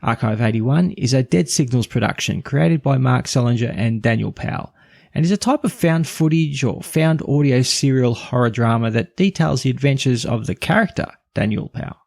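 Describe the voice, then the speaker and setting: A man's voice: medium pitch, lively intonation, normal speed, vocal fry, darkish tone, no echo, studio quality. A man narrating a scripted review as a podcast host.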